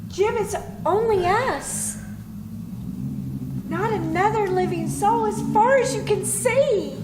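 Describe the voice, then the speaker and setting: A woman's voice: very high-pitched, takes it slowly. A woman playing a frightened, earnest plague survivor in an old-time radio thriller. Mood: desolate, pleading. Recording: echoey live stage, very noisy crowd.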